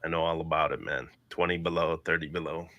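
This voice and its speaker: A man's voice: very deep voice, fairly even intonation, quick pace, pushed and bright, no echo. A man from Minnesota hosting a stream, chatting in a laid-back, slangy, joking way. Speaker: stream host. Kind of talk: casual chat.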